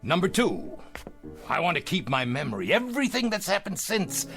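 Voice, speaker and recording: An actor playing a shrewd, restless, boastful old tycoon in 1960s television drama, with theatrical, emphatic delivery. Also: male voice, high voice, wildly swooping pitch, gravelly, a bit bright, full character voice. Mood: shrewd, bargaining. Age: older. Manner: theatrical, blustering, chuckling, halting then emphatic. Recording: old TV soundtrack with background music.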